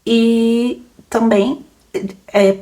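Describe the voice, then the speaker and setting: A woman's voice: fairly high pitch, steady tone, very slow, dark tone. A young adult woman, a book podcaster rambling casually through questions, laughing often and full of fillers. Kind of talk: casual Q&A chat.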